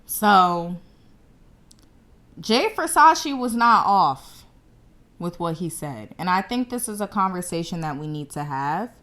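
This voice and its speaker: A woman's voice: fairly low pitch, fairly bright tone. A young woman from the United States hosting her own show, giving blunt, confident commentary straight to camera. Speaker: show host.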